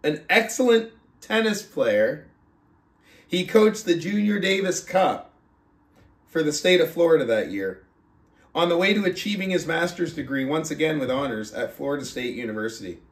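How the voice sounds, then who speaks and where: Higher-voiced man in an echoey room. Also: animated, normal speed. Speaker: podcast host, book club reader. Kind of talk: casual podcast talk and reading a book aloud. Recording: roomy, echoing sound.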